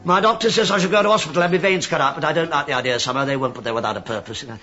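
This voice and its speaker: An actor in a radio play playing a wry, nosy police inspector who talks with droll, mock-humble politeness. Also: male voice, on the high side, rapid-fire, sharp tone, muffled recording. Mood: mock-polite needling. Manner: droll, feigned politeness, sly understatement. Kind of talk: radio drama acting.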